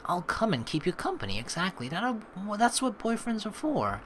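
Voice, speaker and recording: A woman gives chatty, playful commentary on a game. Her pitch swings widely as she rambles and reads aloud. Game music plays underneath. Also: huge pitch swings, normal speed, a bit bright, slight background noise. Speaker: let's player reading and reacting to a game. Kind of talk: casual gameplay commentary.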